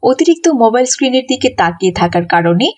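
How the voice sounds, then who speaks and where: A woman's voice: on the high side, sing-song, fast, a little mellow, slight background noise. A woman narrating a scripted children's story as the storyteller.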